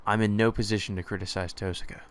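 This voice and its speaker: A man's voice: on the low side, level tone, quick pace, clean tone. A man reading a visual novel's translated lines aloud for a let's play, acting them out and occasionally stumbling. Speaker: let's player reading game text aloud.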